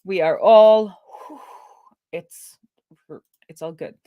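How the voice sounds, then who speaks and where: A woman's voice: mid-range voice, extremely slow, smooth, no room sound, phone-quality sound. A woman chatting casually and informally on a livestream, thinking aloud as she works. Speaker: livestream co-host and web developer. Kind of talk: casual livestream chat while working through code.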